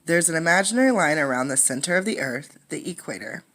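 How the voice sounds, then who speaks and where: A woman reading a picture book aloud as a teacher, in an instructive way, pausing to explain and ask questions. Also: low-pitched, expressive, a bit bright.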